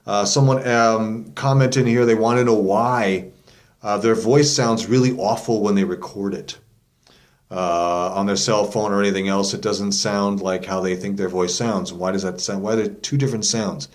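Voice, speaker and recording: A man's voice: on the low side, steady tone, moderate pace. Casual male vocal coach explaining. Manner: informal, demonstrative, step-by-step explaining. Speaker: vocal coach hosting an instructional video. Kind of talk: casual explanatory lesson to camera.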